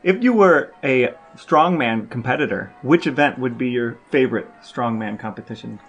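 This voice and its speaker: A man's voice: medium pitch, animated, moderate pace, faint music behind. A man co-hosting a casual comedy podcast, asking questions in a droll, mock-serious way. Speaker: podcast co-host and interviewer.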